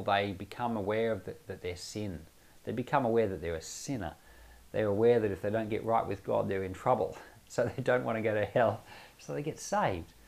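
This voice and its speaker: A man's voice: fairly low pitch, vocal fry. Conversational man teaching the Bible. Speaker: pastor and Bible podcast host. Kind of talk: informal Bible teaching with commentary and prayer.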